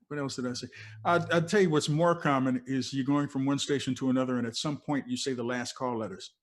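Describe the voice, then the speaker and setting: A man's voice: mid-range voice, fairly even intonation, fast talker. Older American man, veteran radio DJ. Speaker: veteran radio DJ, interview guest. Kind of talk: casual conversational storytelling.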